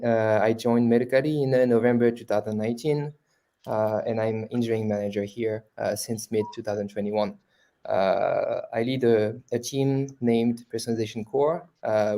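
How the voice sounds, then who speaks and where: A man from France, an engineering manager, giving a halting self-introduction at an online panel with many pauses. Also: lowish voice, fairly even intonation, dark tone. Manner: hesitant, many uh fillers.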